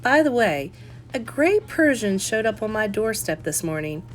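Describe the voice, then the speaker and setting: Woman playing an earnest, independent grown daughter, lively pitch. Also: moderate pace, faint music behind, close to an everyday voice. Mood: polite. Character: grown daughter, independent, exasperated yet polite innkeeper.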